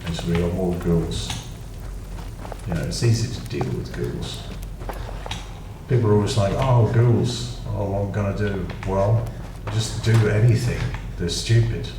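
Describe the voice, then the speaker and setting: A man's voice: low-pitched, animated, unhurried. A man with a British accent giving casual, rambling gameplay commentary with dry, self-mocking asides. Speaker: gaming channel host. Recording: echoey room, distant mic, noisy.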